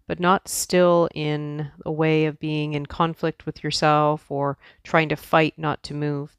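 A woman teaching yoga guides a meditation softly and soothingly, leaving long pauses between her instructions. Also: low voice, clear, resonant tone, dry recording, studio quality.

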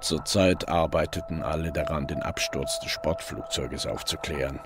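A man's voice: a bass voice, steady tone, moderate pace. An actor playing a reflective police detective who narrates his own case in a crime radio drama. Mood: sober, reflective. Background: music.

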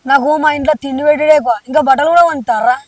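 A man's voice: very high voice, steady tone, very fast, recorded from a distance. Man playing a griping broke village youth. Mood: grumbling. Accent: Telangana Telugu. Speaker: comedy skit actor.

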